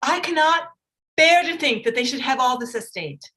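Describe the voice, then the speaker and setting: A woman's voice: high voice, animated, moderate pace, sharp tone. A woman playing a melodramatic, self-pitying middle-aged mother in a staged reading of a period comedy, with a plaintive, theatrical manner. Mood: gloomy self-pity.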